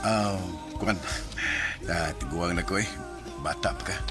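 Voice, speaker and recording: A man's voice: low-pitched, a little slow, over music. A man in a radio drama playing a hesitant married man who narrates his own story and stammers through apologies. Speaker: narrator and lead.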